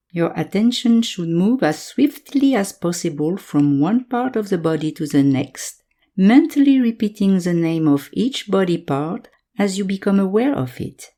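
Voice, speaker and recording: A woman guiding a yoga nidra meditation in calm, measured instructions, leaving pauses between phrases. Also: mid-range voice. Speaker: meditation guide.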